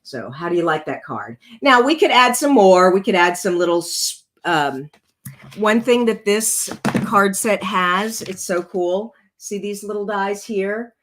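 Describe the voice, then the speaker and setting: A woman with a rough, congested voice hosting a casual card-making tutorial, chatting her way through each step as she works. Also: medium pitch, animated, measured pace.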